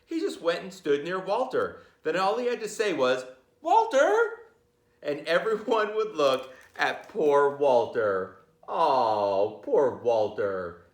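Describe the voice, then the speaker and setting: A man reading a children's picture book aloud, playfully talking to his listeners with chatty asides. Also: medium pitch. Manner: playful, addresses listeners directly. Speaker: read-aloud storyteller. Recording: roomy, echoey sound.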